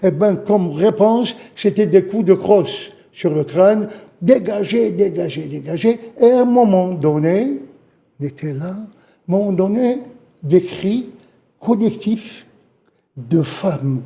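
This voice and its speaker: An older man from Algeria tells his eyewitness story as a guest in a TV studio. His voice sits high for a man but sounds very dark and mellow. He speaks haltingly and often repeats his phrases. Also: expressive, gravelly. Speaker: witness guest. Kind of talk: spoken testimony in a studio interview.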